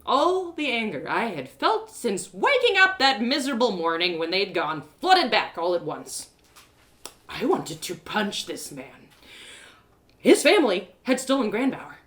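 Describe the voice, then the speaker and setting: A woman's voice: mid-range voice, big pitch swings, measured pace, a bit bright. A woman narrating a first-person audio story in a dramatic storytelling style.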